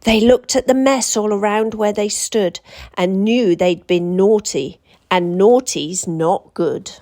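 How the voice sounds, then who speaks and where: A British woman storyteller reading a children's picture book aloud with an expressive, deliberate, dramatic delivery. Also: mid-range voice. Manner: deliberate, dramatic rhyming delivery for young listeners.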